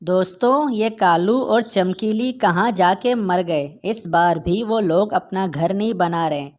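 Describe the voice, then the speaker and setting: A woman plays a plain-spoken bird in a children's cartoon. This wary neighbour sounds earnest and firm, in a medium-pitched voice. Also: slow, darkish tone, lightly acted. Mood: wary, indignant.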